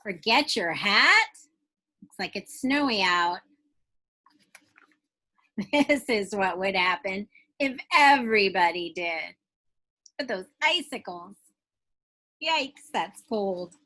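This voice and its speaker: A woman's voice: medium pitch, expressive, slow pace, pauses often, bright, forceful tone, poor audio. A woman teacher reading a picture book aloud to young children, stretching out phrases expressively and putting questions straight to her listeners.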